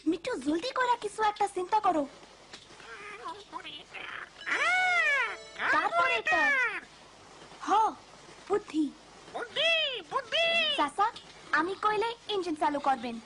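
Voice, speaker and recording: A woman voicing a bright, curious little village girl in a cartoon, speaking with a Bangladeshi accent in a lively, inquisitive way. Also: very high-pitched, a little slow, long pauses, over loud music, heavily acted. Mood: urgent. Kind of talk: cartoon voice acting.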